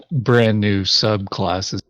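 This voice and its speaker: A man talking casually over a board game in a low-key, matter-of-fact, slightly hesitant way. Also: fairly even intonation, very slow, a bit bright, dry recording, muffled recording. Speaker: board game player on a playthrough video. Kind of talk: casual chat while playing a board game.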